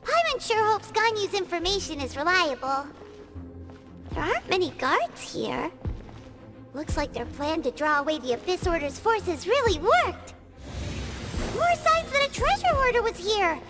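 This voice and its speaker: A woman voicing a tiny, childlike, easily spooked female fairy companion in a video game, squeaky and expressive. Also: measured pace, exaggerated voice. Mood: curious, uneasy. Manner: refers to self in third person, hesitant and expressive. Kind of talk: animated video game acting. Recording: game music behind the voice. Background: orchestral game music.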